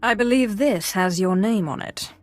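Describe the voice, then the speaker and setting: A woman's voice: mid-range voice, moderate pace, natural voice. An actress playing a confident young female Sith in a sci-fi video game, dry and teasing. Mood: polite, cool. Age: young adult.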